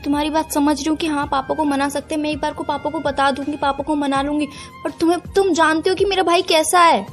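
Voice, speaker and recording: A woman's voice: high-pitched, steady tone, fast, fairly bright tone, soft background music. A young woman playing an earnest, firm girlfriend who is pained and torn, in an audio call drama. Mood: insistent, exasperated. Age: young adult. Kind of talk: acted phone-call drama in conversational Hindi with some English.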